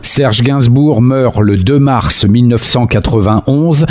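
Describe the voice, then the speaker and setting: A man reading scripted biographical narration as a documentary narrator, steady and measured as he runs through dates and titles. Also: mid-range voice, no echo.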